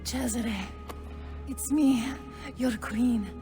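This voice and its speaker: A woman's voice: fairly high pitch, extremely slow, music in the background. An actress playing a desperate, lovesick young noblewoman in a video game, emotional and pleading. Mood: hurt pleading. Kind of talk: dramatic game cutscene acting.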